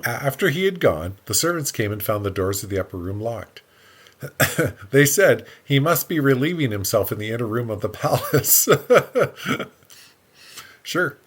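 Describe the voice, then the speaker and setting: A man's voice: mid-range voice, big pitch swings, unhurried, slightly rough. A man co-hosting a podcast, reading scripture aloud and dropping in wry, joking asides in a casual way. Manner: jokey, wry.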